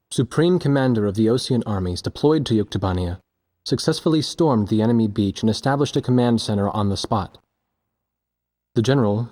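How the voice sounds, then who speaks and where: A man's voice: lowish voice, warm tone, close to an everyday voice. A man playing an earnest young war journalist in a game, narrating reflectively and asking sincere questions. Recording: music behind the voice.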